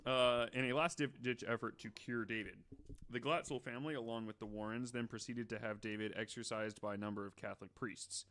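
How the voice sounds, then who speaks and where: A man's voice: mid-range voice, fairly even intonation, brisk, a bit bright, slight background noise. A man on a casual podcast, reading out facts and breaking off into joking, profane asides. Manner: reads facts then riffs with profane jokes. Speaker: podcast host.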